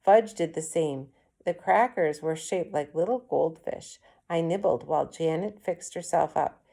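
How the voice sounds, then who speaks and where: A woman's voice: low-pitched, a little slow, vocal fry, warm tone. A woman reading a children's story aloud as a first-person narrator. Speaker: read-aloud reader.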